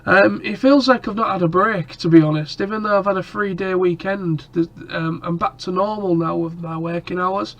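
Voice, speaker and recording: A man's voice: sits high, fairly even intonation, moderate pace, few pauses, fairly bright tone. A man chatting casually to camera in a rambling way, his nose stuffed up and coughing from a cold. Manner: rambling, lots of ums and restarts. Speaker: vlogger.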